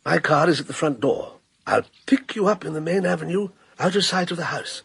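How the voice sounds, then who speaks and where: Persuasive banker, husky and gravelly, lively pitch, dry sound. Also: male voice, a little fast, full character voice. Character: middle-aged male banker, smooth and persuasive. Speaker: narrator and character. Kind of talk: radio drama.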